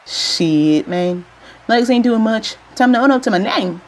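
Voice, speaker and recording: A woman's voice: a little high, expressive, unhurried, darkish tone, a little hiss or hum. A woman voicing a cocky young street brawler who trash-talks in slangy, swaggering taunts.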